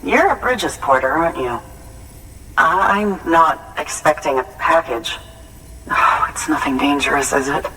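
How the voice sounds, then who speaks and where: A man's voice: fairly high pitch, measured pace, distant mic, background noise. An actor playing a nervous, sentimental, courteous man in a video game cutscene, speaking in a hesitant and polite way. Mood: wary, nervous.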